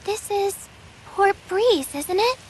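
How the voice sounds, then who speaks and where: Young girl heroine, very high animated voice, gentle sincerity, game cutscene. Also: takes it slowly, slight background noise, exaggerated voice, poor audio. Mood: wondering. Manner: earnest. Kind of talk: English game dub cutscene.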